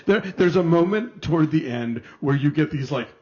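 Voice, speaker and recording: A man with a fairly high-pitched voice talks as a critic on a casual review panel. He is chatty and expansive, fond of playful comparisons. The audio is a little dull and band-limited. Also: animated, moderate pace, a little mellow. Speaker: film critic co-hosting a review show.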